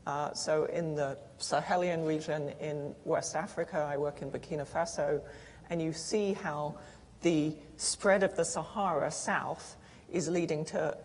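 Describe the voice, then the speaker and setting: A woman's voice: low-pitched, fairly even intonation, unhurried, a little mellow. A woman speaking as a panelist. She explains earnestly, with fillers and restarts. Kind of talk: panel discussion answer. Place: conference hall. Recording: long hall reverb.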